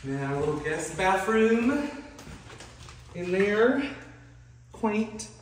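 A man in his thirties with a folksy, expressive manner, chatting casually as he gives a home video tour. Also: sits high, slow, recorded from a distance. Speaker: family vlogger, tour guide. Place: empty rooms of a small house, indoors. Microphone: handheld phone a few steps away. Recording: very echoey empty rooms, clear background noise.

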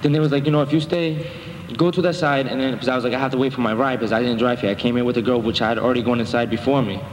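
A man's voice: mid-range voice, steady tone, very fast. A young man speaking as a defendant in court, rambling and defensive, with many fillers. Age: young adult. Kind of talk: testimony in court. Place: municipal courtroom. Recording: echoey courtroom, faint noise.

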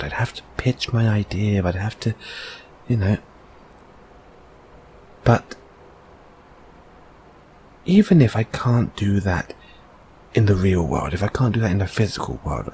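Man playing a slow-talking, low-voiced gentle boyfriend. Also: slight background noise. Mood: musing. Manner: soft, halting, reassuring, with tag questions. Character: gentle, encouraging, dreamy boyfriend comforting his partner. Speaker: audio roleplay performer. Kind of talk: intimate boyfriend comfort audio roleplay.

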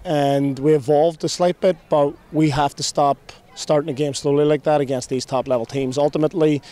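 A man in his thirties, a head coach, giving a measured post-game interview outdoors at a stadium at night into a handheld mic. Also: on the high side, steady tone, dark tone. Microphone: handheld mic held below chin.

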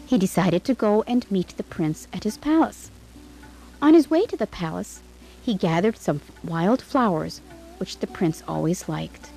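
A woman narrating a scripted fairytale for children in an expressive, dramatic way. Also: mid-range voice, unhurried, creaky, soft background music, rough recording. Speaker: storyteller.

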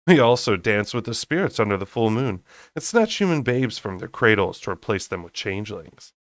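A man's voice: on the low side, very animated, moderate pace, dry recording, studio quality. A man voicing a smirking, sardonic male fae warrior in a storybook, mocking and teasing. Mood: sarcastic.

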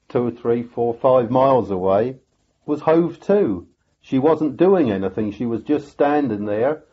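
An older man tells his memories of a sea battle slowly, pausing and restarting often. His voice is slightly husky. The audio is dull and lo-fi, like a documentary interview. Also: medium pitch. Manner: halting, many restarts and pauses. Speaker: veteran sailor recounting a battle. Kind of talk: reflective interview recollection.

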